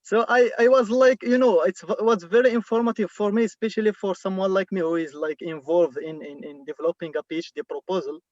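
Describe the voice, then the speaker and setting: Moroccan-born male doctoral student, high-pitched, halting and repetitive, calling in from a car on a dull, dry line. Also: fairly even intonation, a little mellow, dry recording. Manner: halting, repeats words many times. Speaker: doctoral student asking a question. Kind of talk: online Q&A question. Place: inside a car.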